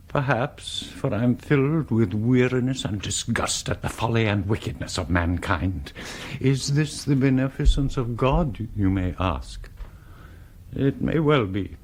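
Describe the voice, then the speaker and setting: An actor playing a weary, ascetic old bishop in a radio drama, husky and portentous, full of disgust at mankind. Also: male voice, mid-range voice, close to an everyday voice. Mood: weary, solemn. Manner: slow, portentous, rhetorical.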